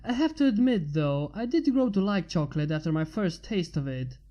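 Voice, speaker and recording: A woman's voice: lowish voice, expressive, fairly quick, dark tone, muffled recording. A woman reading a scripted story aloud as its narrator. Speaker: fan fiction reader. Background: music.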